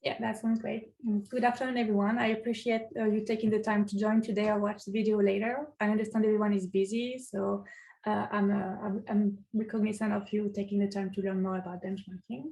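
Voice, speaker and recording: Woman, French accent, middle-aged, creaky mid-range voice, informal and halting meeting introduction. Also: mid-range voice, level tone, mellow, low-quality recording. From France. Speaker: city program manager and presenter. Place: online meeting.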